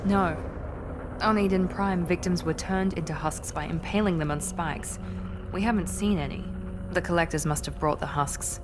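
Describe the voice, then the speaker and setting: Actress as low-voiced, cool female operative. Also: noisy background, natural voice. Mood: analytical. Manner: measured, matter-of-fact. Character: cool, composed female special operative, businesslike.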